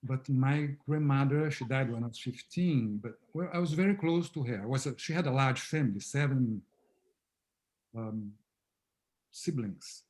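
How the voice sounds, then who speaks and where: A man whose first language is Portuguese, speaking softly and reflectively on a video call as a guest founder of a therapy method. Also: mid-range voice, lively intonation, moderate pace. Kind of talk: casual conversation on a video call.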